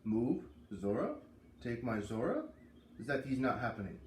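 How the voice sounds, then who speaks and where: A man's voice: medium pitch, very animated, measured pace, a little mellow. A man acts out a stubborn older woman farmer from a children's storybook. She is snappish and curt, with blunt broken English. The sound is roomy and a little distant from the mic, with faint noise. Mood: stubborn, indignant.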